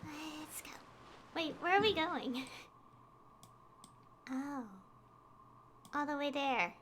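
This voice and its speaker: A woman's voice: high-pitched, extremely slow, fairly bright tone, a little hiss or hum. A woman streaming a game, giving casual, informal commentary as she thinks aloud. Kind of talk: casual livestream gameplay commentary. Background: game music.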